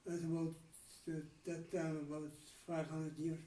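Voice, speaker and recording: A man's voice: on the high side, flat delivery, mellow, roomy sound, recorded from a distance, rough recording. A man in his nineties with a Dutch accent, recalling his childhood in a candid interview and often stopping to restart his sentences. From Netherlands. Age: 90s. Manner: halting, many restarts and trailing pauses. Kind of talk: candid life-story interview.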